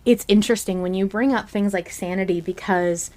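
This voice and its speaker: A woman's voice: mid-range voice, fairly quick, a little mellow. Woman in casual, analytical podcast chat. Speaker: podcast host. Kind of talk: casual fan podcast discussion.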